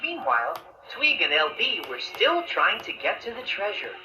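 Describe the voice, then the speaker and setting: A man playing a friendly storybook bear who narrates a children's tale in a measured way, with echoey playback. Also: sits high, huge pitch swings, sharp tone, slight background noise, full character voice. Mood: storytelling.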